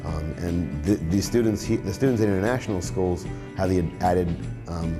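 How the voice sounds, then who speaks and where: A young man in his twenties talks as a science teacher, warm and encouraging, with plenty of restarts and fillers. His voice sits low, and music plays clearly under him. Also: moderate pace. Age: 20s. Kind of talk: interview and classroom talk.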